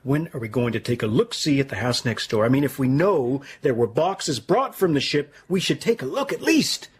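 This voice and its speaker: A man's voice: mid-range voice, big pitch swings, normal speed, slightly rough. An actor playing a bold, restless man of action in an audio drama. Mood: eager, impatient. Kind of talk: radio drama acting.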